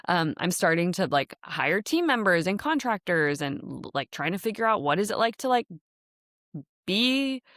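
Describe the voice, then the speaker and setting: A woman's voice: lowish voice, fairly bright tone, dry recording, clean studio sound. A woman whose first language is Dutch, raised in the United States, hosting a podcast in a chatty, rambling solo talk full of fillers and light laughs. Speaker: podcast host and startup founder. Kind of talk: casual solo podcast monologue. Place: podcast studio in an office space.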